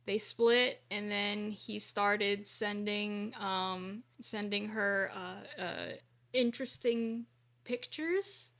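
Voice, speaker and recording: Woman, middle aged, lots of creak in her voice, kinda halting, just chatting on a podcast. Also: mid-range voice, a bit bright. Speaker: podcast co-host. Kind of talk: casual chat.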